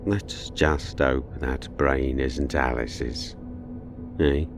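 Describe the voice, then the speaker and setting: An ancient, evil spirit speaks extremely low and very slowly, with a gravelly creak. It is sly and taunting, a menacing horror-drama villain savoring each word. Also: male voice, steady tone, music in the background, exaggerated voice. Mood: sinister, seductive. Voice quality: very deep, gravelly, dark. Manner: slow, deliberate, taunting. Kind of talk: audio horror drama acting.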